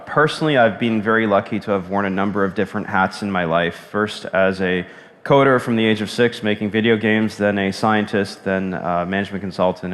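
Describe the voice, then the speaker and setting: A man introducing himself as an investor on a conference panel, speaking in a brisk, upbeat way. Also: lowish voice. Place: conference stage. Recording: long hall reverb.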